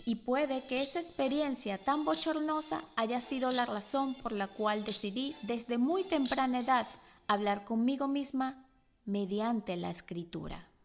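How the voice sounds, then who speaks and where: A woman in her forties with a Venezuelan Spanish accent, reading her own personal essay in a reflective, measured way. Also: on the high side, soft background music. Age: 40s. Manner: reflective, measured, with soft laughs. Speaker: podcast host and writer.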